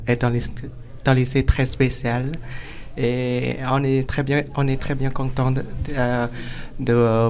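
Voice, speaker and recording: A teenage high school student from Vietnam, speaking French as a with many halting restarts. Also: male voice, a little mellow, slight background noise.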